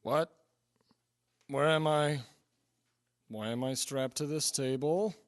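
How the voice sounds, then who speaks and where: A man's voice: on the high side, slow pace, no echo, clean studio sound. A man playing a hapless, hard-drinking scientist-inventor in an audio drama, ranting with exasperated disbelief. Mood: dazed, skeptical.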